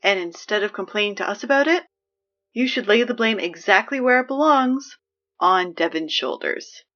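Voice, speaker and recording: A woman playing an exasperated, sarcastic high school announcer in a scripted comedy audio drama, dryly officious with conversational asides. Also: lively intonation, normal speed, a bit bright, dull audio, natural voice.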